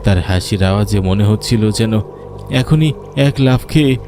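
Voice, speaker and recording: Low-voiced man narrating horror. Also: measured pace, background noise. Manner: dramatic, suspenseful. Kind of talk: scripted first-person horror story narration.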